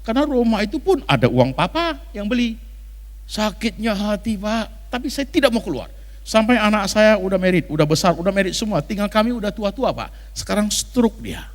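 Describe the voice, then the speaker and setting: A man's voice: sits high, animated, moderate pace. A man acts out an older wife, bitter and worn by years of hurt. The voice is plaintive and confiding, rising and falling widely, in a reverberant hall as part of a sermon story. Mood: aggrieved. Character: aggrieved older wife, bitter and long-suffering.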